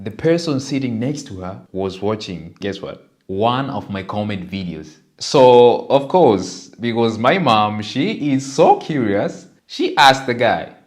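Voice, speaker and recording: A man in his twenties from Tanzania, telling his life story to his channel in an earnest, animated way. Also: on the low side. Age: 20s. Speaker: content creator. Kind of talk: personal storytelling to camera.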